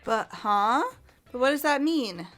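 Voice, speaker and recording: A woman's voice: on the high side, animated, measured pace, fairly bright tone, soft background music. A woman playing an anxious, disbelieving teenage student in a fan-voiced video game. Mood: shocked, disbelieving.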